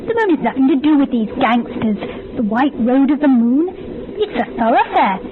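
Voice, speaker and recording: An emotive female companion in a radio drama. She coaxes in a high, very lively voice. The recording is thin with clear noise. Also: moderate pace. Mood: coaxing. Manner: emotive, coaxing. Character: excitable, soothing female companion. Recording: noisy, tinny phone-like radio recording.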